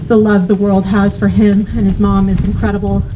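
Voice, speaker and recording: A young woman giving an earnest, halting tribute at an outdoor memorial by a lake. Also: mid-range voice, completely flat delivery. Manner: earnest, halting, filler-heavy. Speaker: vigil attendee. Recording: dull audio with wind and boat noise.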